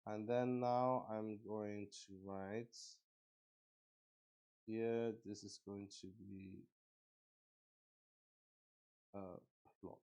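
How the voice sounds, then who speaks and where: A man with a fairly low voice talks very slowly. He thinks aloud as a solo roleplaying streamer, explaining his process in a halting way and often searching for the right word. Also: little pitch movement, mellow, smooth.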